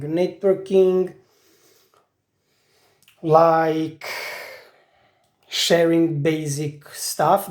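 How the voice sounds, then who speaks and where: Slow, halting woman. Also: lowish voice, mellow. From Croatia. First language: Italian. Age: 40s. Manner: halting, searching for words, many restarts. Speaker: IT specialist. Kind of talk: casual monologue to camera.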